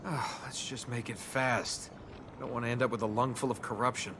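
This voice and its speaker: An actor playing a cocky young adventurer in a video game, flippant and sarcastic. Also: male voice, mid-range voice, fairly even intonation, normal speed, close to an everyday voice. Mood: determined. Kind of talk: video game character acting. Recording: noisy game capture with ambience.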